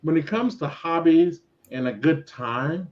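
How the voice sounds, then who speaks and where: A man in his forties rambles through his points on a group call, restarting and swearing as he goes. His voice is notably high for a man. The call audio comes through dull and lo-fi. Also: animated, measured pace. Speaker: guest on a group stream. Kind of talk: casual group chat.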